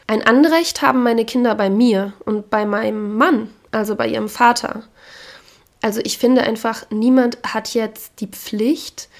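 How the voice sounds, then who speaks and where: A woman hosting a podcast, speaking frankly and with opinions while hoarse from a cold. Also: medium pitch. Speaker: podcast host. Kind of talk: casual solo podcast talk.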